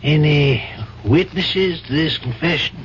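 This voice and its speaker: An actor playing an older judge in a 1970s radio drama, deliberate and avuncular as he reasons things through. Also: on the high side, lightly acted. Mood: curious, probing. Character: calm, measured older male judge, compassionate but probing. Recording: muffled, faintly noisy 1970s radio broadcast.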